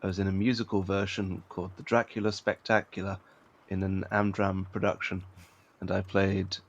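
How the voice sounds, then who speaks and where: A man's voice: low voice, flat delivery, moderate pace, lo-fi sound. A man, an actor, chatting casually and telling anecdotes as an interview guest.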